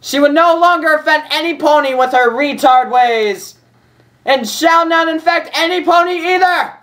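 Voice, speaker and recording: An amateur reader voicing a cruel, imperious pony princess from a fan fiction, shouting commands in fury. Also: sits high, normal speed, pitched above the performer's own voice. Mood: furious.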